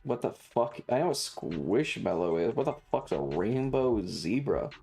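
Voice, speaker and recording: A woman livestreamer chatting casually over a video game in a blunt, sweary, deadpan manner, with game music behind her. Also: a bass voice, unhurried, vocal fry, husky. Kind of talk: casual game streaming chat.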